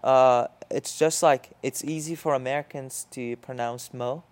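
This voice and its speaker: A young man from Syria whose first language is Arabic, co-hosting a casual podcast chat in a halting way, full of "like" and restarts. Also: medium pitch, steady tone, darkish tone. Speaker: podcast co-host.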